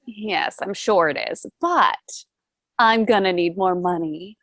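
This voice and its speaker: A woman's voice: medium pitch, takes it slowly, fairly bright tone, no room sound, clean studio sound. A woman playing a polite, genteel heiress who asks questions and wants more money, in a table read of a stage musical. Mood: polite, probing.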